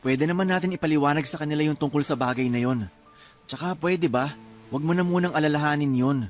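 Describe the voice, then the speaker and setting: A man's voice: fairly high pitch, fast, soft background music, lightly acted. A man playing an evasive, self-justifying husband in a radio drama, defensive and pleading.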